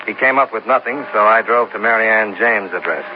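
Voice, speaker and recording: An actor playing a cool, wry insurance investigator in a 1950s radio detective drama, with a dry, matter-of-fact delivery. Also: male voice, lowish voice, fairly quick, soft background music, natural voice. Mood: dry, narrating. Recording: muffled, noisy old radio recording.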